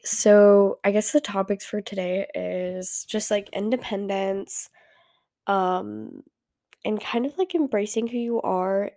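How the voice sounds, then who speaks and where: A woman's voice: mid-range voice, creaky, a little mellow, dry recording, clean studio sound. A teenage girl hosting a casual solo podcast, rambling with many fillers, her voice stuffy from a cold. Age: teens. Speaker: podcast host.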